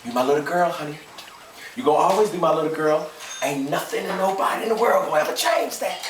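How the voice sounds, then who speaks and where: In a roomy, slightly distant recording, a man acts out a rural Southern father in deep anguish and rage. He swings widely in pitch, pleading and thundering in folksy country dialect, a domineering husband in an audiobook drama. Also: expressive, quick pace, lightly acted. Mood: hurt, tender. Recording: roomy, distant mic, faint noise.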